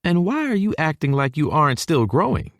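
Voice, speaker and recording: A man voicing a warm, welcoming middle-aged Texan mother with a Southern US accent, in an audiobook. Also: on the high side, big pitch swings, a little fast, dry recording, studio quality, voice pushed up. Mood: affectionate, teasing.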